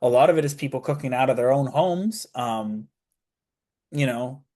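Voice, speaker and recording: A man's voice: medium pitch, moderate pace, warm tone. A young man, a student guest on a radio interview, talking casually with lots of fillers and restarts. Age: young adult. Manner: rambling, many fillers and restarts. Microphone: studio mic.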